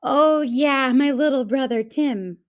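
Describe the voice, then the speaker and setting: A woman voicing an excitable, grumpy young male ape in a children's storybook, exclaiming and whining indignantly. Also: high voice, takes it slowly, pitched above the performer's own voice. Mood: grumbling. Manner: exclaiming, whiny and indignant when crossed. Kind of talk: children's storybook read-aloud.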